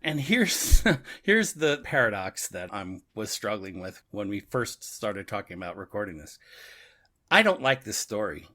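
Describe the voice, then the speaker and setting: A man's voice: lowish voice, sing-song, a little slow, pushed and bright. A man co-hosting a podcast in casual, reflective conversation, often repeating himself for emphasis. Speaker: podcast co-host. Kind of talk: casual discussion of an audio drama.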